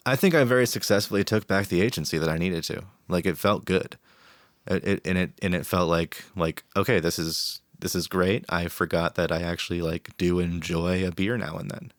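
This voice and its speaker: A man's voice: sits low, fairly even intonation, normal speed, dry recording, studio quality. A man in a casual podcast panel, reflecting aloud on socializing and drinking, with frequent restarts. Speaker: podcast guest.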